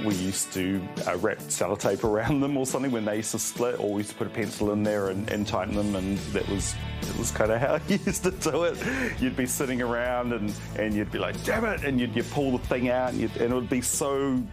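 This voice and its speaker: A man's voice: lowish voice, a little fast. A middle-aged man with a New Zealand accent chatting casually in an interview, laid-back and slangy. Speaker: music shop interviewee. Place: small record shop. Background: music.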